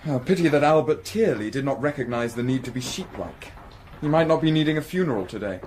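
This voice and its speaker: An actor playing a shrewd Victorian gentleman investigator in a period radio drama, polite, dry and probing. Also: medium pitch, animated, fast talker, lightly acted. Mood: wry.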